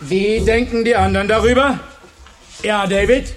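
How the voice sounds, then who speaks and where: Radio drama male teacher acted by a man, fervent leader type, commanding and rhetorical, very high pitch. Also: fairly even intonation, normal speed, a little hiss or hum, close to an everyday voice. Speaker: actor in a radio play. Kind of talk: German radio drama acting, rallying speech.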